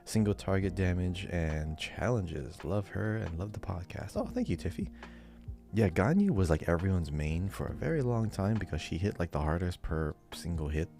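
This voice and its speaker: A man with a deep voice and plenty of vocal fry co-hosts a casual podcast. He talks in an informal, chatty way, dropping in short asides as he goes. Music plays clearly underneath his voice. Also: animated, normal speed, mellow. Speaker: podcast co-host. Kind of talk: casual podcast chat, reading listener answers and commenting.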